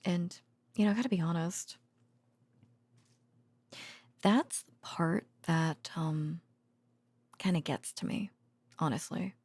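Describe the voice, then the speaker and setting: A woman acting as a tender, tired researcher girlfriend in an ASMR roleplay. Her voice sits on the low side, very breathy and soft, often with a creaky edge. She muses haltingly and drowsily, as if confiding at bedtime. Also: no room sound, heavily acted. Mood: wry, musing. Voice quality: soft, breathy, partly whispered. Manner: hesitant, drowsy, musing, with sighs and soft chuckles. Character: tender, weary female secret-facility researcher and girlfriend. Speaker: voice actor in an audio roleplay. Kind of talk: intimate ASMR girlfriend roleplay, conversational monologue.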